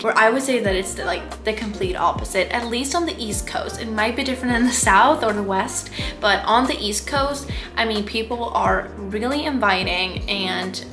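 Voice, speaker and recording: Chatty young woman vlogging. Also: mid-range voice. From Sweden. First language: Swedish. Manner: chatty and candid, lots of "like". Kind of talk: casual talk to camera. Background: background music.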